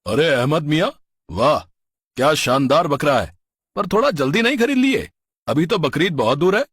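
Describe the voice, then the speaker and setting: A man voicing a nosy, mocking village neighbour in a cartoon story, loud and exclamatory. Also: on the high side, very animated, normal speed, husky, no room sound, clean studio sound, heavily acted. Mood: impressed.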